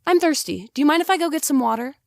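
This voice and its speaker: A woman's voice: high voice, expressive, very fast, creaky, darkish tone, no room sound, studio quality. A young woman playing a bewildered, earnest teenage girl from the past in a hobby audio drama. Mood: puzzled. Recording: music playing behind the voice.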